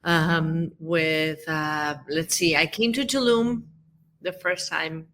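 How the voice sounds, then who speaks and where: A woman's voice: deep voice, fairly even intonation, slow, fairly bright tone, slight background noise. A woman from Colombia, a real estate agent guest on a podcast, talking in a friendly, conversational way with frequent ums. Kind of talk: casual interview chat.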